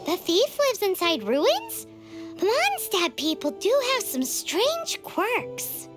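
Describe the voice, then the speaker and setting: A woman voicing a tiny, childlike fairy companion in a video game, excitable, blunt and cheeky. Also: slow, over music, heavily acted. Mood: puzzled. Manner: squeaky, refers to self in third person. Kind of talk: cartoonish video game voice acting.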